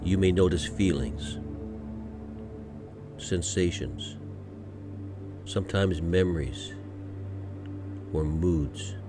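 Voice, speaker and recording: A man guiding a meditation from a script in a gentle, soothing, unhurried manner, pausing often between short phrases. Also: low-pitched, music in the background. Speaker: meditation guide. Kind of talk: guided meditation, scripted narration.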